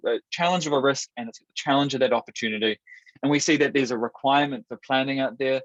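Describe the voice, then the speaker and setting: A New Zealand man with a Kiwi accent presents a product webinar over a video call. His delivery is upbeat and steady, with the odd restart. The audio is dry and a bit dull. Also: medium pitch, no room sound. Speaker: webinar host.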